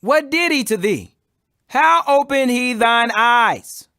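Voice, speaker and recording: Man reading as proud accusing Pharisee, high pitched, forceful, insistent, declamatory scripture storytelling. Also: expressive, takes it slowly, clear, resonant tone, no room sound, clean studio sound, heavily acted. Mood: demanding. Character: stern, accusing Pharisees, proud religious elders questioning.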